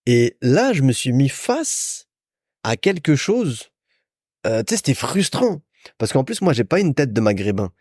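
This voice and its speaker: A man's voice: mid-range voice, sing-song, a little slow, a little mellow, no room sound, studio quality. A young man from France hosting a solo podcast, telling stories in a chatty, informal way as if to a friend. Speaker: podcast host. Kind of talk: casual solo podcast monologue, storytelling.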